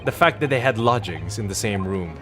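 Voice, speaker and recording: Male reader as earnest video game defence attorney: formal, methodical, theatrical, slightly low register, light music behind. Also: expressive, brisk, natural voice. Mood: measured, deductive. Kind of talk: dramatic read-aloud of game dialogue.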